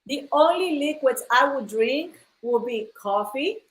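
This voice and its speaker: A woman from Ecuador tells a personal story on a live stream, earnest and direct with her viewers. Her voice sits a little high. The room around her rings with echo. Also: expressive, unhurried. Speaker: host. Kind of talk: casual live-stream chat. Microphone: phone live stream.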